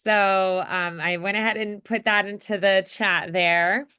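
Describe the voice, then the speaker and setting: A woman working as a voice acting coach, chatting casually in an animated, encouraging way and demonstrating as she goes. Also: medium pitch, measured pace, clean tone. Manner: animated, encouraging, acts out examples.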